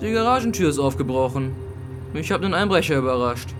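A young man plays a spoiled, cornered teenage boy, scornful and defiant. His high voice swings widely in pitch, with clear music behind it in a crime radio play. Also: animated, quick pace, natural voice. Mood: evasive. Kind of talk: German crime radio drama acting.

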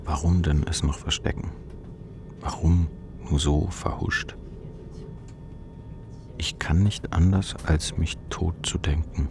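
Gravelly, deep, despairing young man. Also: fairly even intonation, in a whisper, heavily acted. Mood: resigned despair. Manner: bleak, measured, introspective. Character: despairing, ashamed, depressed man of twenty-four, numb narrator. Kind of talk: literary radio drama inner monologue.

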